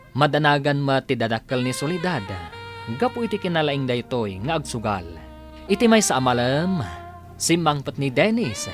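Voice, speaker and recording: A man's voice: mid-range voice, fairly bright tone. A man with an Ilocano accent reading scripted narration for a radio drama.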